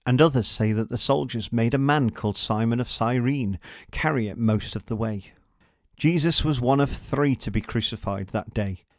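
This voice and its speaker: A man reading a scripted children's story as a narrator, with dramatic, measured delivery. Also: mid-range voice, dry recording, clean studio sound.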